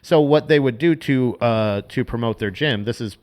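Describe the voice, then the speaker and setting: A man's voice: medium pitch, normal speed, a little mellow. A man co-hosting a podcast, explaining at length in loose, profane casual chat with many restarts. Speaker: podcast co-host.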